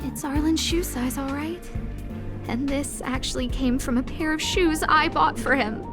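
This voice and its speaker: A woman's voice: sits high, moderate pace, lightly acted. An actress voicing an earnest, caring young woman boss in an anime-style game, musing aloud with guilt and worry. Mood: pensive. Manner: musing aloud, self-questioning. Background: game music.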